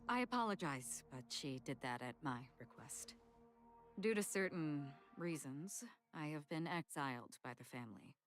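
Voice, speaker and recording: A woman playing a calm, solemn, mysterious exiled swordswoman in a game, speaking in a measured, polite, formal way. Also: low voice. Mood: calm, earnest. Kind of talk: scripted game voice acting. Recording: music behind the voice.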